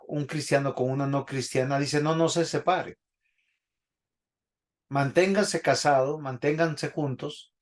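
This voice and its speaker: Higher-voiced man teaching a Bible class. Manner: didactic, asks the congregation questions. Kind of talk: Bible teaching sermon with questions to the congregation. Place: church.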